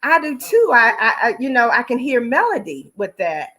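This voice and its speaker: A woman's voice: on the high side, very animated, moderate pace, sharp tone. A middle-aged woman giving personal, testimonial interview answers as an actress, heartfelt and emphatic, leaning on repeated phrases like a preacher. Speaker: stage play actress and singer, interview guest.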